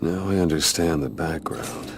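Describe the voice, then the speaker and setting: Game role: brooding, serious fighter, bottomless low register, noticeable noise behind him. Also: male voice, animated, creaky, heavily acted. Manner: measured, serious. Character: calm, brooding warrior man, terse and analytical. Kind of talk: dramatic video game cutscene acting.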